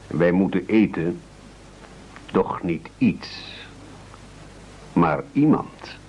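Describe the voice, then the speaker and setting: An actor playing a formal, commanding castaway gentleman in an absurdist radio play, measured and over-polite. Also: sits low, expressive, a little hiss or hum, full character voice. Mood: solemn, persuasive. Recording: dull lo-fi old radio recording.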